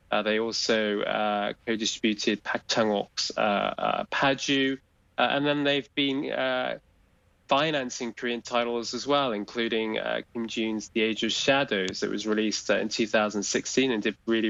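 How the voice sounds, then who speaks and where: A man's voice: on the low side, vocal fry, fairly bright tone, dry recording, dull audio. A man speaking as a guest commentator on a news program, talking hesitantly with many fillers and restarts. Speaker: film industry guest commentator. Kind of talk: live studio interview discussion.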